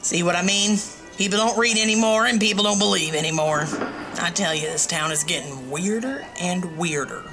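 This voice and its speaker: A woman's voice: lowish voice, moderate pace, over music, lightly acted, poor audio. A middle-aged woman playing a sassy, gossipy small-town diner waitress in an audio drama. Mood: chatty. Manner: folksy, gossipy, wisecracking.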